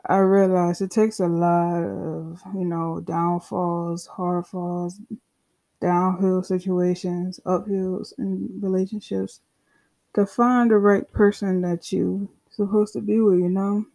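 A young podcast host in their twenties, talking alone in a loose, unscripted way. The delivery is slow and unhurried, the tone mellow and dark, candid and profane with frequent asides. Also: medium pitch, flat delivery, creaky, rough recording. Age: 20s. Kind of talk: casual solo monologue.

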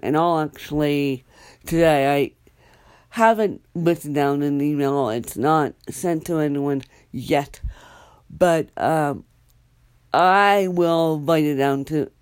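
A young adult speaker hosts a solo podcast, drawling slowly through a loose, rambling update. The talk halts often, full of fillers and restarts. The voice sits close and dry, with no room sound. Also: medium pitch, a little mellow, clean tone, no echo. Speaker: podcast host.

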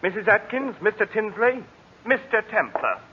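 On a muffled, slightly noisy old radio recording, a prissy butler. The medium-pitched voice moves animatedly up and down. He is droll and gossipy, with mock-grand formality. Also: sing-song, moderate pace. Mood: self-important. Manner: arch, prissy, theatrically formal. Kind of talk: 1940s radio comedy-mystery acting.

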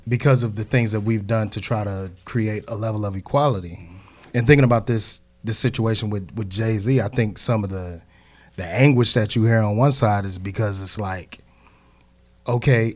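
A man's voice: fairly low pitch, moderate pace, a little mellow. A man in his thirties in a casual group discussion, building reasoned points with frequent hedges. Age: 30s.

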